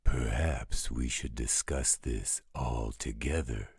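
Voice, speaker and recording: An actor playing an overprotective, fatherly werewolf guardian in an audio roleplay, with a gravelly, husky voice and a careful, measured delivery. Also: very deep voice, darkish tone, no echo, studio quality, exaggerated voice. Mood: earnest, awkward. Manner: slow, measured, careful explaining.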